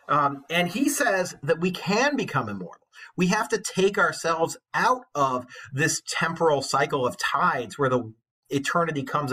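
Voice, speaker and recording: A man's voice: fairly high pitch, fairly bright tone, breathy edge. A man in his forties, an English teacher, giving an informal literature lecture in an earnest, conversational, emphatic way.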